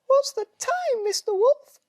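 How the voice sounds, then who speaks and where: A man voicing tiny cheeky storybook animals in a squeaky, sing-song falsetto. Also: lively intonation, measured pace. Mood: cheeky, chirpy.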